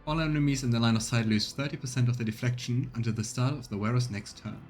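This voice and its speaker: A man's voice: mid-range voice, fairly even intonation, quick pace. A man giving casual let's play commentary, musing aloud and reading game text out as he streams. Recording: game music behind. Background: video game music.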